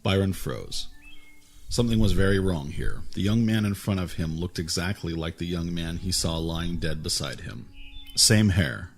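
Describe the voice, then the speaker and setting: A man reading a fantasy story aloud in a calm, even way, with a husky voice. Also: low voice, normal speed, faint music behind. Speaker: narrator. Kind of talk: storybook narration.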